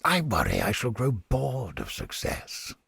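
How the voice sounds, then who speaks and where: A man playing a cynical, sardonic, smugly godless inquisitor scholar in a video game, with a gravelly, husky voice and deliberate, formal, theatrical delivery. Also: mid-range voice, wildly swooping pitch, a bit bright, no room sound, clean studio sound, full character voice. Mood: wry. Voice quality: gravelly, husky, breathy edge.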